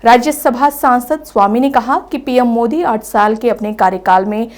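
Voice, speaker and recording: A woman reads the news as a bulletin anchor. Her voice sits slightly higher than average for a woman, and she delivers scripted reports. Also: moderate pace.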